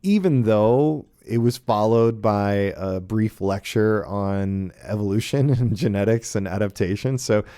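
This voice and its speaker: A man with a low, mellow voice hosts a casual podcast discussion. He thinks aloud, hesitating and tagging his phrases with little check-ins. The sound is close and dry. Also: measured pace, few pauses, smooth, dry recording. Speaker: podcast host. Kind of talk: casual podcast discussion of old radio shows.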